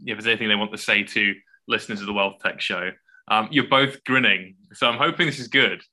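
A man's voice: lowish voice, a little fast, bright, forceful tone. A man hosting a podcast, asking questions and wrapping up with his guests in a friendly way. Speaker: podcast host. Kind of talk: podcast interview.

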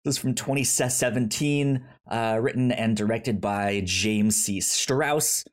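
A man hosting a casual film review podcast, talking haltingly and often stammering over the start of words. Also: medium pitch. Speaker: podcast host.

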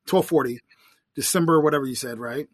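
A man hosting a podcast interview, cutting in with quick clarifying questions. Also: medium pitch, no room sound, studio quality. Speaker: podcast host.

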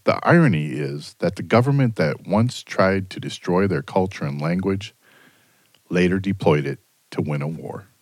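A man's voice: low-pitched, lively intonation, moderate pace, no room sound. A man narrating a documentary, then reflecting in a casual way.

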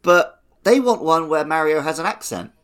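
Animated man hosting a comedy quiz. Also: on the high side, normal speed, clear, resonant tone. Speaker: quiz podcast host.

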